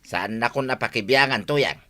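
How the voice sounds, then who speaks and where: A man's voice: mid-range voice, normal speed, pushed and bright, close to an everyday voice. A man playing a grumbling, superstitious village husband in an Ilocano radio comedy, complaining emphatically with an Ilocano accent. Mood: uneasy, grumbling. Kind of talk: Filipino radio comedy drama acting.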